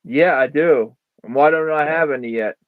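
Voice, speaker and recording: A man's voice: on the high side, moderate pace, no echo. Easygoing man on a dull dry mic. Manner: easygoing, laughs easily. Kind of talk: casual chat.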